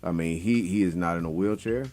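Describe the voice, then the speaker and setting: Deep-voiced male doctor explaining conversationally. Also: fairly quick, darkish tone, faint music behind. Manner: hesitant, broken phrasing with emphatic lists. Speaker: doctor, guest.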